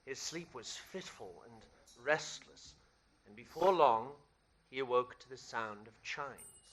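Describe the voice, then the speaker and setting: Bright, dramatic male radio narrator. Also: mid-range voice, expressive, measured pace. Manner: dramatic storytelling. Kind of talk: scripted 1930s radio play narration.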